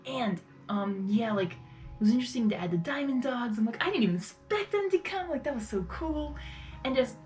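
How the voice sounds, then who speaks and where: A woman's voice: on the high side, animated, moderate pace, a little mellow, faint music behind. A woman giving casual live reaction commentary as a video host, effusive and rambling, often repeating her phrases.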